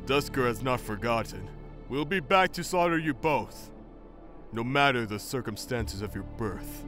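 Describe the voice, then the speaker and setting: Vengeful army general, played by a man, menacing and declamatory, mid pitch, parody game dub over music. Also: lively intonation, unhurried, a bit bright. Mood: vengeful, threatening. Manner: threatening, declamatory. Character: vengeful Duscar general, menacing male warrior. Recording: background music under voice.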